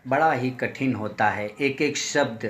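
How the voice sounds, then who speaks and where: Slow, formal man thanking listeners by name. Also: a bit bright. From Chhattisgarh. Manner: sings high and drawn out, spacing each phrase slowly. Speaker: poet. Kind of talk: sung Hindi poem, then spoken greetings at an online poetry session.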